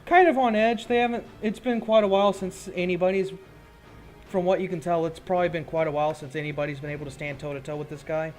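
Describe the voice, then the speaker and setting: Guy dming a tabletop game, kinda higher voice, casual storytelling, bit of music behind. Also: steady tone, fast talker, darkish tone. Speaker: game master. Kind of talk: narrating a tabletop roleplaying game, improvised.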